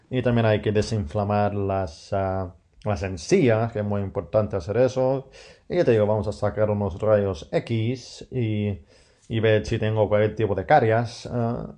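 Male podcast host, non-native Spanish speaker, gravelly and dark-toned, hesitant and casual. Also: fairly low pitch. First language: not Spanish. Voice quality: gravelly, hesitant with many fillers and self-corrections. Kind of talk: casual monologue in a learner's Spanish.

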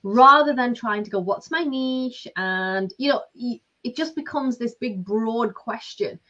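A woman's voice: on the high side, unhurried, bright, forceful tone. Woman, Lancashire accent, forties, chatty, filler-heavy, slightly muffled audio. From Lancashire, England. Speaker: business coach, guest. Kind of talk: casual interview talk. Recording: slightly dull lo-fi sound.

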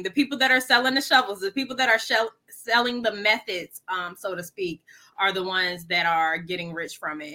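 A woman's voice: fairly low pitch, sharp tone. A woman, a financial coach, answering interview questions earnestly and explaining things plainly, with frequent ums and likes.

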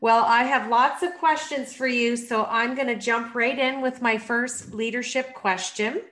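A woman hosts a leadership podcast, speaking slowly and with deliberate care. She introduces her guest enthusiastically and asks questions in a measured, even way. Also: medium pitch. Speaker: podcast host. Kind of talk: interview hosting.

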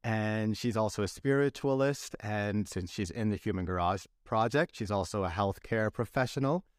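A man hosting an interview podcast, talking in a friendly, relaxed way and reading a short scripted intro. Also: on the low side, moderate pace, no room sound, clean studio sound. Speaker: podcast host. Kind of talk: casual interview.

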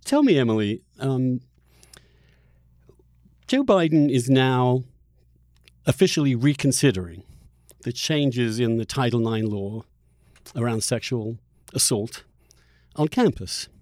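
A man's voice: medium pitch, animated, takes it slowly, pauses often, darkish tone, no room sound, studio quality. A man hosting a conversational podcast interview, asking long questions that he rephrases as he goes.

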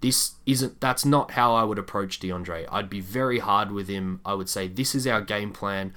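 A man co-hosting a sports podcast, giving measured, candid analysis in a casual discussion. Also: on the low side, bright, forceful tone.

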